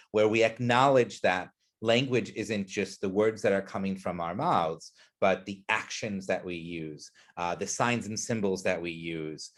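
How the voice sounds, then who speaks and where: A man with a fairly low voice leads an educational webinar. He explains concepts earnestly and poses questions to the listeners. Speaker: presenter.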